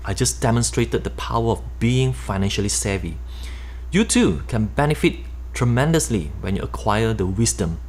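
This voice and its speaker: A middle-aged man with a Malaysian accent reading his own nonfiction book aloud in a steady, explanatory way. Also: on the low side, normal speed, slight background noise. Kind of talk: nonfiction audiobook narration.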